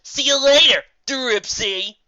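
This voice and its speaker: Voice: high-pitched, slow pace, bright, forceful tone, no echo, highs cut off, pitched above the performer's own voice, exaggerated voice. A narrator voicing a brash, lazy young female pegasus pony with a flippant, dismissive drawl in a cartoon fan story. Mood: smug, flippant.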